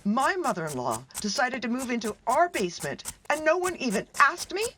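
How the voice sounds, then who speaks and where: A woman's voice: high-pitched, big pitch swings, a little fast, bright, forceful tone, a little hiss or hum, full character voice. A woman acting in a radio play as an exasperated, blunt wife and mother with a curt, pointed manner. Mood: indignant.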